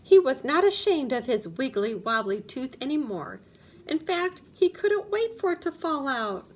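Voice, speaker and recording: High, animated woman reading a children's story. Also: unhurried. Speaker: storybook reader. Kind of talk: expressive children's story narration.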